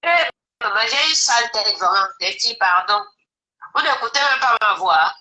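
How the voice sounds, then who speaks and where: Woman, high voice, flu, casual emotional chat, echoey room, live call guest. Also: sing-song, normal speed, pushed and bright. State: has the flu. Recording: roomy echo, distant from the mic.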